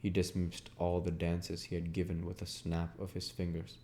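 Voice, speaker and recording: Low-pitched man reading a novel. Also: monotone, moderate pace, warm tone, a little hiss or hum. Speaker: audiobook reader. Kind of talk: scripted literary narration.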